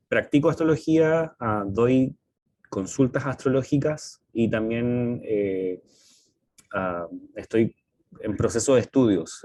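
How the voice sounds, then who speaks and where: A man with a Chilean accent talking casually as a podcast co-host, in a halting, hesitant way with frequent pauses. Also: lowish voice, darkish tone, dry recording. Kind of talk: casual conversational podcast talk.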